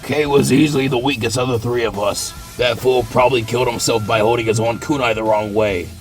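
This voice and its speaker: A man voicing a brutish, boastful male villain who shouts in a slow, gravelly growl. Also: medium pitch, steady tone, soft background music, pitched below the performer's own voice, close to an everyday voice. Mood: menacing.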